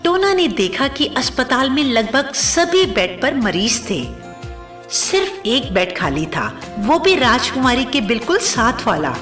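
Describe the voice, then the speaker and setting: A woman's voice: on the high side, big pitch swings, a little slow, fairly bright tone, faint music behind. A woman narrating a scripted cartoon story as a storyteller.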